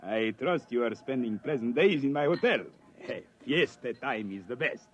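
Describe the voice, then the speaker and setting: A man's voice: medium pitch, lively intonation, normal speed, a little hiss or hum, natural voice. A man playing an obliging, flustered hotel keeper with a Mexican accent and eager, deferential delivery in a radio drama. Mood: courteous. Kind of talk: 1950s radio drama acting. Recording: muffled old radio recording, music.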